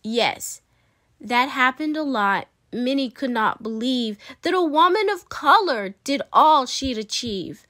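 A woman's voice: sits high, expressive, takes it slowly, a bit bright, no echo, studio quality. A woman reading a children's picture book aloud expressively, with dramatic emphasis and playful asides. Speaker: read-aloud storyteller.

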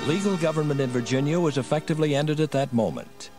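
A man's voice: fairly high pitch, fast talker. A man narrating a scripted historical drama as its storyteller. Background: music.

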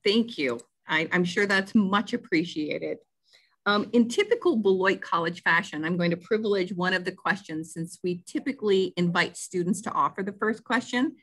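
A woman moderating an online Q&A, polite and measured, with soft fillers. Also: fairly low pitch, lively intonation, low-quality recording. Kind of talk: reading audience questions. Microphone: video call.

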